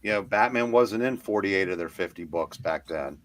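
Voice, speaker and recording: A man's voice: low voice, expressive, normal speed. A man chatting casually as a panelist, explaining things, with noise behind his voice. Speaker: panelist on a comic-book show. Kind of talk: casual chat. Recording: clear background noise and ambience.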